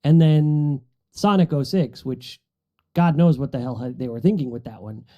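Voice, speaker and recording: A man's voice: medium pitch, moderate pace, lo-fi sound. A man on a podcast panel, explaining things in a casual, conversational way.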